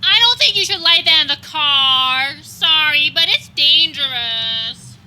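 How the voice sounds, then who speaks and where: A woman's voice: high voice, lively intonation, a little slow, sharp tone, full character voice, low-quality recording. A woman playing an awkward, apologetic, literal-minded tagalong teenage boy in a live outdoor comedy radio play. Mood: meekly apologetic. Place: backyard, before a live audience. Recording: live outdoor recording, faint background noise.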